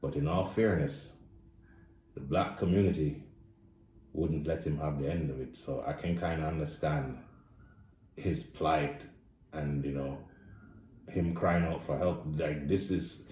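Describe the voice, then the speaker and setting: A man's voice: very deep voice, steady tone, unhurried, pauses often, darkish tone, reverberant, far from the mic, a little hiss or hum. A man with a Jamaican accent giving a blunt, profane, casual movie review. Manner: blunt, profane, speaks straight with no filter. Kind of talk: casual opinionated review monologue.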